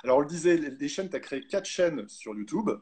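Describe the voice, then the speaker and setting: A man's voice: on the high side, lively intonation, a little fast, darkish tone, slightly rough. A man hosting a casual live interview, reading viewer questions with many restarts and hesitations.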